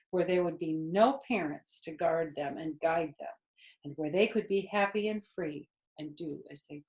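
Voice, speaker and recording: A woman's voice: on the low side, a little mellow. An older woman reads a children's book aloud expressively, in a grandmotherly way, with chatty explanations for young listeners. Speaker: storyteller reading to children. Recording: echoey room sound.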